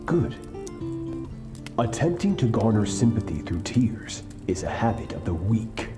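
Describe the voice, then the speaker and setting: An actor playing a cold, ruthless male warlord who trains his apprentice, speaking in a slow, grave and contemptuous way. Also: on the low side, sing-song, reverberant, over music. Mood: menacing. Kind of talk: game cutscene voice acting.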